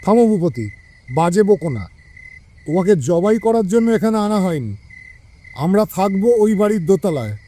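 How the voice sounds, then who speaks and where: Man playing a high-voiced, brusque, commanding companion. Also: big pitch swings, normal speed, long pauses, mellow. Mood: curt. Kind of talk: audio story dramatization.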